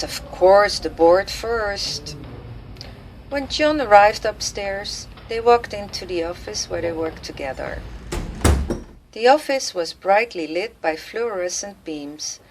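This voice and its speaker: A woman's voice: medium pitch, rough recording. A woman reading scripted narration for an audio drama, with ambient sound effects behind her. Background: faint noise and ambient sound effects.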